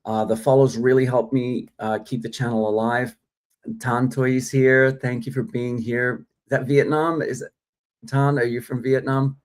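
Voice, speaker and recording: A man's voice: medium pitch, normal speed. A man from Australia, an actor, chatting casually on a phone live stream, thanking viewers by name and laughing easily. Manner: relaxed and friendly, talks to viewers by name, laughs often.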